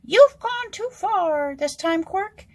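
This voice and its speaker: A woman voicing an exasperated, commanding king in a children's storybook, barking orders and growling out drawn-out words. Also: very high-pitched, expressive, darkish tone, voice pushed up, exaggerated voice. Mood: angry, commanding.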